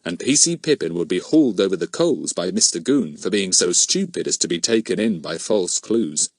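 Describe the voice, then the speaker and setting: Animated male storyteller, low-pitched contrast aside. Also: moderate pace, no echo, clean studio sound. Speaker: audiobook narrator. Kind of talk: scripted children's story narration.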